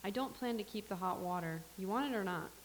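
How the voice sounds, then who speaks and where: A woman's voice: medium pitch, fast talker, natural voice. An actress playing a dry, sardonic woman serving tea in a staged comedy reading. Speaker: café server character. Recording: reverberant space with faint hiss.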